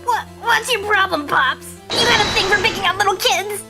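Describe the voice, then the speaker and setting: A woman voicing a brash, excitable young boy witness in a fan-dubbed courtroom drama, with cheeky, slangy delivery. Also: extremely high pitch, normal speed, over music. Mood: defiant. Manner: cheeky, slangy, stammers when cornered. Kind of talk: fan dub courtroom game acting.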